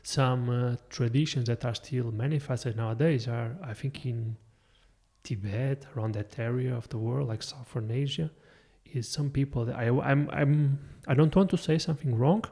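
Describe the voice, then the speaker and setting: Male podcast host, gravelly mellow voice, rambling musings. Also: medium pitch, steady tone, moderate pace. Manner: musing, rambling, self-correcting. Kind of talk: casual interview talk.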